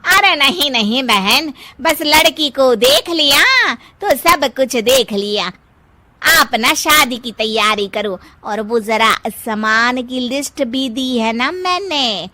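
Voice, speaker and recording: Pushy, theatrical middle-aged mother-in-law, very high, slow-paced, cartoon storytelling. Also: animated, bright, forceful tone, dry recording, heavily acted. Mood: eager. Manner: exclamatory, theatrical, scolding. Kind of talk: Hindi moral-story cartoon voice acting.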